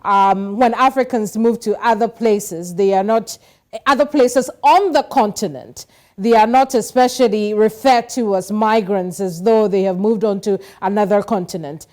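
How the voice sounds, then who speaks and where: Brisk woman moderating a news panel. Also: medium pitch, a little mellow. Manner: brisk, steers and interrupts guests. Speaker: news anchor.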